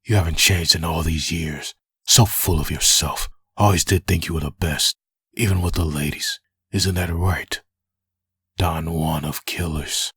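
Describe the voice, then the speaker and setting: Male actor as bitter undead comic antihero, deep, very husky, closely dry sound. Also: fairly even intonation, measured pace, fairly bright tone, dry recording, heavily acted. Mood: scornful. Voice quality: raspy. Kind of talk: fan comic dub acting.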